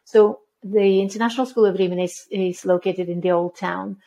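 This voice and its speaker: A woman in her fifties from Norway, a head of school answering interview questions in a measured way, with frequent ums and restarts. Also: medium pitch, fairly even intonation, mellow.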